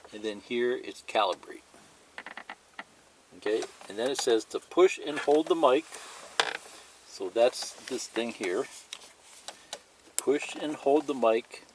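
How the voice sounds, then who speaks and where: A man talks slowly through a practical tutorial in a medium-pitched voice. He is plain-spoken, repeating himself and pointing out each step as he goes. Light noise sits behind him, as in a home video. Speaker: hobbyist instructor. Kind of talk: step-by-step hands-on tutorial demonstration, partly reading a manual aloud.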